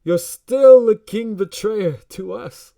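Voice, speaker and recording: An actor playing a mocking royal guard in a fantasy audio drama, taunting and sneering. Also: male voice, high-pitched, gravelly, heavily acted. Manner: taunting, drawn-out delivery. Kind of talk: theatrical audio drama acting. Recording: loud background noise.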